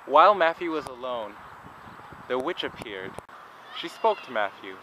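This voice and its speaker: A man's voice: mid-range voice, sing-song, a little slow, background noise. A man narrating a vlog and telling stories to his viewers. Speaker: vlogger.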